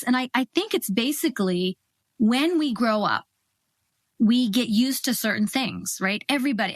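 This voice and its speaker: A middle-aged woman from Ohio, a guest on an interview show, explaining her ideas in a thoughtful, measured way. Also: fairly high pitch, a bit bright, dry recording. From Ohio, USA. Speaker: guest, cross-cultural consultant. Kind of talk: interview answers.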